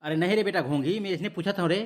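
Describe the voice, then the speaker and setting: A woman plays a folksy cartoon village headman with a Chhattisgarhi accent. The voice sits low and moves very fast. He is gruff but fatherly, soothing others while easily flustered himself. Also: lightly acted. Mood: placating. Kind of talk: Chhattisgarhi comedy cartoon voice acting.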